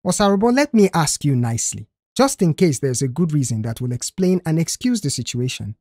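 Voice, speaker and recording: A woman's voice: low voice, sing-song, brisk, dark tone, breathy edge, no echo, clean studio sound, full character voice. A woman voicing a stern, exasperated male boss who scolds with sarcastic questions in an audio story.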